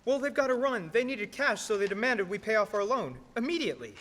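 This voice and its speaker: A young man acting an excitable, folksy small-town American character in a radio play. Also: high-pitched, quick pace. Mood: panicked. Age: teens. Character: friendly small-town cab driver, and frantic flustered older uncle. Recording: noisy recording in reverberant theater.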